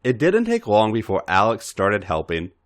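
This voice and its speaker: Deep-voiced man narrating with sweeping pitch. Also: huge pitch swings, normal speed. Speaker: podcast narrator reading fan fiction. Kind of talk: expressive scripted story narration.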